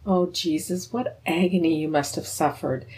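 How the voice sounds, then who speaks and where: A woman poet from Minnesota, calmly and earnestly introducing and reading her poem. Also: sits low, moderate pace, low-quality recording.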